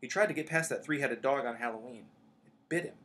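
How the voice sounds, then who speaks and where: A man's voice: mid-range voice, fast talker, fairly bright tone, lightly acted. A man voicing an earnest, eager young schoolboy wizard in a storybook, speaking in a direct way.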